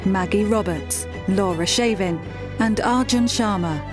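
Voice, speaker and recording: A woman announcer reading scripted drama credits at an unhurried pace. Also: medium pitch, over music.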